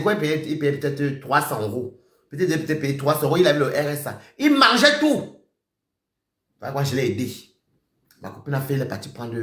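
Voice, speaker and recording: A man ranting on a live stream, accusing someone directly. He repeats himself and swings between shouting and muttering. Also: mid-range voice, roomy sound. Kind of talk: heated live-stream rant.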